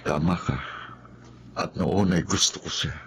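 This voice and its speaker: Very deep-voiced earnest Filipino lawyer. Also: male voice, fairly even intonation, measured pace, a bit bright, slight background noise. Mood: quietly candid. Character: principled Filipino male lawyer, earnest, telling his life story. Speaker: drama actor. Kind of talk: Filipino radio drama acting.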